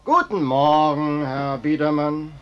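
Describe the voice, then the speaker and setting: An actor in a German radio play voicing a cheeky ex-circus vagrant with ingratiating, falsely innocent politeness. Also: male voice, fairly high pitch, takes it slowly, lo-fi sound, noisy background. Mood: mock-innocent.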